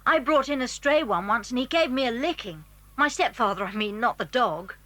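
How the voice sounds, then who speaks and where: A woman playing an eager, cheeky schoolboy with a brisk, conspiratorial manner in a children's audio drama. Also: on the high side, lively intonation, fairly bright tone, dry recording. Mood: surprised, friendly.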